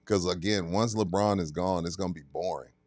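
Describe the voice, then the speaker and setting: A man's voice: low-pitched, fairly quick, a little mellow, no room sound. A man co-hosting a sports podcast, blunt and profane, often mocking players by quoting them. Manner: blunt, profane, mocks players in quoted voices. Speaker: sports talk podcast co-host. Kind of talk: casual sports chat.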